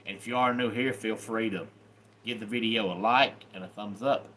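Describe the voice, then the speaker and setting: Southern guy in his 30s, folksy live chat host, bit of a low voice. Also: steady tone, normal speed, a bit bright, a little hiss or hum, poor audio. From Southern United States. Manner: folksy and welcoming, greets viewers by name. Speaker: YouTube channel host. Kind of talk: casual live-stream chat.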